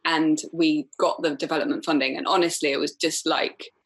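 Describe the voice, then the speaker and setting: A woman from Wales, chatting as a podcast guest, full of fillers and quick laughs. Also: deep voice, steady tone. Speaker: film director guest. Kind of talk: casual podcast chat.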